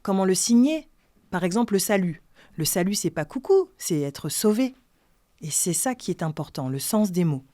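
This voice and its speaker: A woman speaking calmly and earnestly in a documentary interview. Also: lowish voice, moderate pace, no room sound. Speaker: sign-language singer interviewed.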